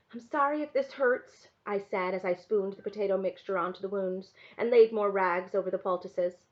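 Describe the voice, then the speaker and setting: A woman reading a first-person story aloud in an expressive narrating style. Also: mid-range voice, lo-fi sound. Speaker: reader reading a novel aloud to a class.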